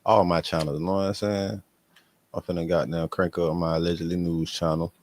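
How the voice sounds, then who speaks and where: A man's voice: low-pitched, level tone, fairly quick, slight background noise. A man doing casual reaction commentary as a channel host, blunt, slangy and heavy on profanity.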